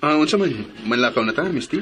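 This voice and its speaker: A man's voice: on the high side, lively intonation, fast, a bit bright, faint music behind. A man acting a dutiful, courteous young suitor in a romantic radio drama.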